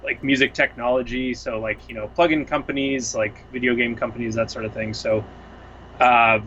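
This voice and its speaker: A man's voice: medium pitch, steady tone, normal speed, a bit bright, dull audio, a little hiss or hum. A young man from the Seattle area, a music producer guest chatting casually on a couch at home, rambling thoughtfully with lots of fillers. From Seattle area, US Pacific Northwest. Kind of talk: casual chat.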